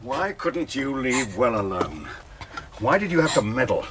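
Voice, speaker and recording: A man's voice: medium pitch, very animated, unhurried, heavy background noise. A man playing an obsessive, deranged doctor turned murderer in a radio drama, anguished and menacing. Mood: anguished madness. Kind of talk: British radio drama acting.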